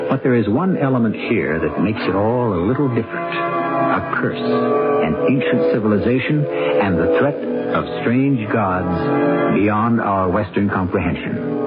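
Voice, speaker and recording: A man narrating as host of a seventies radio drama in a measured, theatrical, portentous manner. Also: lowish voice. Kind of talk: scripted 1970s radio narration. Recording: tinny radio recording with loud music.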